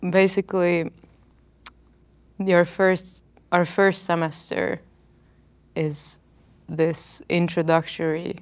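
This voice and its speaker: A young woman from Hungary, a student on a panel, soft-spoken and hesitant, searching for words on a lapel mic. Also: deep voice, creaky, no echo. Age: young adult. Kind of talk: casual panel chat.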